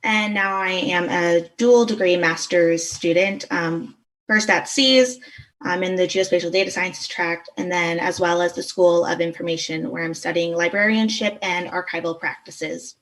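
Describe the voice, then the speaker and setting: A woman's voice: on the low side, normal speed, a bit bright, far from the mic, low-quality recording. Woman student presenting over a call. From Eastern Pennsylvania. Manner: earnest, with frequent fillers. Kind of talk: project presentation on a video call. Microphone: video call.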